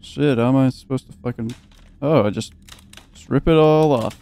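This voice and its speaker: Mellow young man doing gaming commentary. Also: medium pitch, moderate pace. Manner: joking, sweary, reading game text aloud. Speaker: gaming video host. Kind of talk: casual let's play commentary. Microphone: close, dry microphone.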